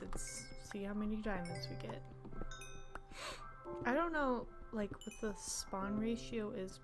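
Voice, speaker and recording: A young woman streaming a game, chatting casually and rambling, often dropping into whispered asides. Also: medium pitch. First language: English. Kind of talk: casual gameplay chat.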